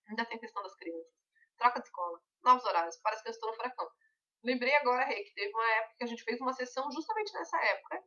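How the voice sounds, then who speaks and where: A woman guides a group through a mentoring session, her voice bright and fairly high. She explains patiently with everyday examples. The audio sounds distant and muffled, like an online call. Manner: conversational, reassuring, explains with examples. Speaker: weight-loss mentor.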